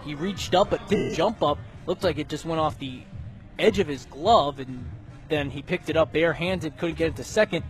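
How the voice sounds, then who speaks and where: A man's voice: a little high, moderate pace, soft background music. A man doing live baseball radio commentary as the analyst, in a conversational, analytical way. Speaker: color commentator and scoreboard announcer.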